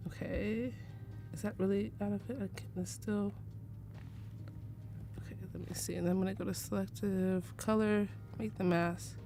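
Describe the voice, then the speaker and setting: A woman's voice: mid-range voice, fairly even intonation, moderate pace, over music. A young American woman from Arizona giving a casual live photo-editing tutorial, chatty and full of fillers, laughing easily. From United States (Arizona). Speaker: livestream presenter teaching photo editing.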